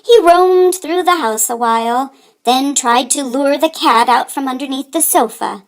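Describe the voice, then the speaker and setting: A woman reading a children's story aloud with deliberate, dramatic emphasis. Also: sits high. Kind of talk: expressive read-aloud narration for children.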